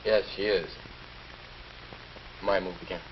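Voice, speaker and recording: A man's voice: deep voice, fairly even intonation. A young American man playing an earnest, hesitant teenage boy in a 1950s educational film. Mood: uneasy. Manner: hesitant, halting. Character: earnest, unsure teenage boy dating a girl. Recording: dull, noisy old film soundtrack.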